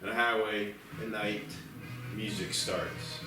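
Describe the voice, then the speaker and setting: A man's voice: lowish voice, fairly even intonation, takes it slowly. A man reading scripted stage directions as the narrator at a screenplay table read. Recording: very echoey room, distant mic, music behind.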